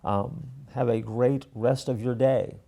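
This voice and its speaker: A man tells a church story in a relaxed, folksy way, with a touch of self-mockery. His voice is mellow and a little gravelly, and his phrase ends often fade down soft. The pitch sits in a middle range for a man. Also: slow pace. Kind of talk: casual storytelling with a moral.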